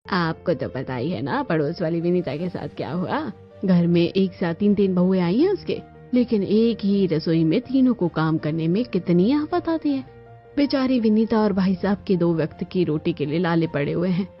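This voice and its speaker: A woman's voice: on the low side, animated, moderate pace, natural voice. A woman voicing a determined middle-aged Indian mother in a cartoon story. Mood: firm, insistent. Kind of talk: Hindi cartoon story dialogue. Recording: dull lo-fi audio with music.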